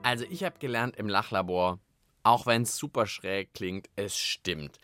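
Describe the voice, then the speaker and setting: A man's voice: on the low side, a little slow, over music. A man co-hosting a children's podcast in a studio, bantering casually while trying out experiments.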